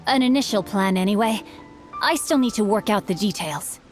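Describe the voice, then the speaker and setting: A woman's voice: mid-range voice, expressive, moderate pace, natural voice. Woman playing a formal, bookish young swordsman, music behind. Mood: earnest. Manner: formal, florid, theatrical speech. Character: earnest, bookish, courteous teenage boy swordsman. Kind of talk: anime game dialogue.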